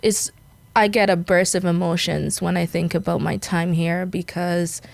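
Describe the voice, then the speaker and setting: Young guyanese woman chatting, laughs a lot, lowish voice, dry mic. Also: fairly even intonation, moderate pace, creaky, no echo. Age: young adult. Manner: chatty, laughs often, many fillers. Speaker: podcast guest. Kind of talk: casual interview chat.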